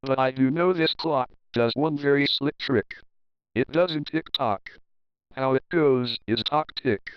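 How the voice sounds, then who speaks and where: Man, children's story narrator, smooth clear voice, expressive rhythmic verse reading, close dry sound, slightly muffled recording. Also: medium pitch, measured pace, no echo. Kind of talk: children's picture-book readalong narration.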